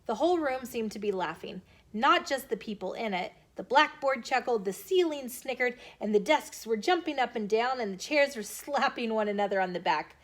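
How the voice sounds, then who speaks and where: Animated, high-voiced American woman reading stories. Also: moderate pace, hardly any pauses. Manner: animated, emphatic character dialogue. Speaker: storytime host and reader. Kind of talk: expressive children's storybook read-aloud.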